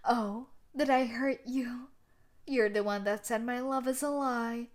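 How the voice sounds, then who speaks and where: A woman's voice: a little high, steady tone, slow, background noise. A young woman playing a sadistic, possessive female yandere bully in a roleplay audio drama, with a taunting, domineering manner. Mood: menacing, mocking. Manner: taunting, domineering, with breathy sighs and soft laughs. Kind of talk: roleplay audio drama monologue addressed to the listener.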